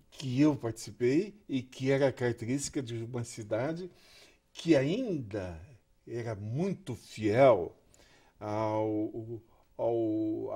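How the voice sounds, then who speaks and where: Older male guest, husky, unhurried recollections. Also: mid-range voice, warm tone. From Curitiba, Brazil. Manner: slow, halting, repeats words while recalling. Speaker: guest journalist. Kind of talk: reminiscing interview talk. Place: TV studio.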